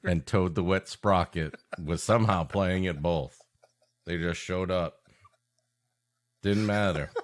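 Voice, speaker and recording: Low-voiced man riffing on a comedy podcast. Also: takes it slowly, pauses often. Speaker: podcast co-host. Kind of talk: improvised comic banter.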